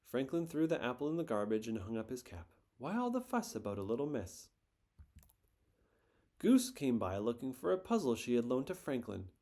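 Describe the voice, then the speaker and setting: Man, children's read-aloud, gentle storytelling, warm mellow voice, lively intonation. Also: medium pitch, moderate pace.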